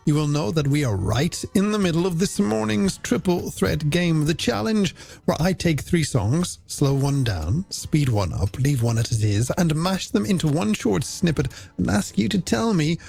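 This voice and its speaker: A man's voice: on the high side, big pitch swings, normal speed, creaky, darkish tone, husky. A man presenting late-night music radio, with playful, theatrical and wordy banter. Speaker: radio host. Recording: music bed behind the voice.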